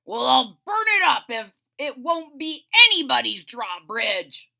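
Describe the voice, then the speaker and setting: A woman voicing a big, hungry, blustering but not truly mean dragon in a children's storybook read-aloud, with drawn-out mumbles and rumbling roars. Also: sing-song, gravelly, sharp tone, heavily acted. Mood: blustering, threatening.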